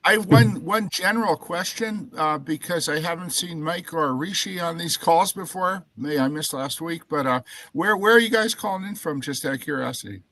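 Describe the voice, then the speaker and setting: A man's voice: on the high side, moderate pace, hardly any pauses, fairly bright tone, poor audio. A man from California chatting on a video call, giving generous, enthusiastic praise. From California, United States. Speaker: community participant. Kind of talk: casual video call chat.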